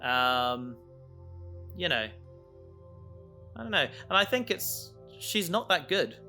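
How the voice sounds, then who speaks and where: A man chatting casually as a podcast co-host, halting and often interrupting himself as he rambles. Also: on the high side, sing-song, sharp tone, soft background music. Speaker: podcast co-host and audio drama creator.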